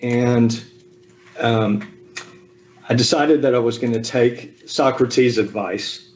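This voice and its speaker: A man in his fifties from the American South, giving a relaxed personal talk over a video call with frequent ums and asides. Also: mid-range voice. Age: 50s. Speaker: speaker at a Zoom talk. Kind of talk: personal family history talk.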